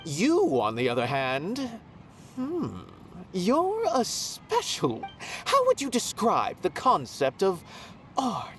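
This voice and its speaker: An actor playing an aloof, condescending intellectual in a video game, musing pompously. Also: male voice, high voice, wildly swooping pitch, slow pace, fairly bright tone, full character voice. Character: aloof, condescending intellectual art connoisseur who watches others. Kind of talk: video game character acting. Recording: game music behind.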